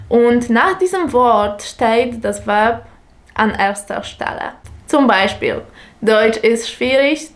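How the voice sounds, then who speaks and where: A young woman whose first language is Polish explains German learning in careful, non-native German with a Polish accent, speaking deliberately as a teacher. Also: a little high. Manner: slow, careful, deliberate delivery with small grammar slips.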